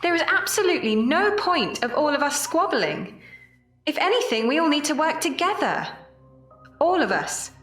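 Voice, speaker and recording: An actress as a principled woman leading survivors in a period audio drama. Her voice sits fairly high and she speaks with earnest command, recorded in a roomy space some way from the microphone. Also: sing-song, moderate pace, fairly bright tone, distant mic, soft background music. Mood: stern, rallying. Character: firm, principled woman keeping a group of survivors together.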